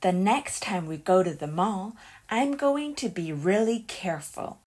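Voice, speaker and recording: A woman reading a children's picture book aloud as a storyteller, in an expressive and deliberate way. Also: mid-range voice, slightly rough.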